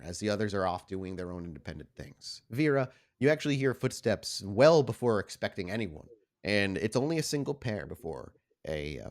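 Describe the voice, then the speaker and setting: A man working as game master, improvising descriptive, expressive narration for a tabletop game. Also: fairly low pitch.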